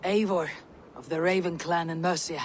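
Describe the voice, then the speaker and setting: A woman's voice: fairly low pitch, steady tone, normal speed, a little hiss or hum, natural voice. A woman playing a proud, blunt female Viking warrior in a video game, dry and stern in manner. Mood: measured. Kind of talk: video game cutscene acting.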